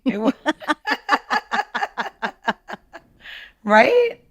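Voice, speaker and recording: A woman's voice: high-pitched, sing-song, drawn-out words, fairly bright tone. A woman co-hosting a podcast in casual chat, blunt, joking and salty-tongued. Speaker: podcast co-host.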